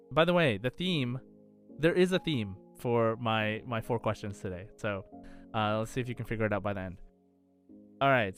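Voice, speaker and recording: A man's voice: mid-range voice, fairly bright tone. A man hosting a podcast quiz in a casual, chatty style. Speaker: podcast host and quizmaster. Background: faint background music.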